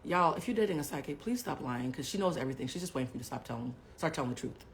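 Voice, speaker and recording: Low-voiced woman in her forties, blunt and animated. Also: very fast, slight background noise. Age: 40s. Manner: blunt, profane, animated storytelling that jumps between hushed asides and loud bursts. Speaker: livestream host. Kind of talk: candid storytelling to a live audience.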